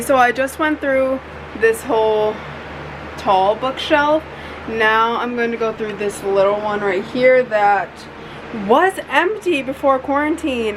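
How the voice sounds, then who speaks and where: Young woman vlogging w a stuffy nose, kinda high voice, chatty and blunt, shelves behind her. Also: noisy background. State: congested and sniffly. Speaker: booktuber host. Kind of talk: casual chat to camera. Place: bedroom with bookshelves behind her.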